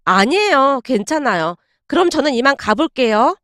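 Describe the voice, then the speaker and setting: A woman's voice: sits high, big pitch swings, moderate pace, fairly bright tone, no room sound, studio quality. A woman voicing a shy, polite, considerate young woman in a dramatized story. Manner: bashful, courteous.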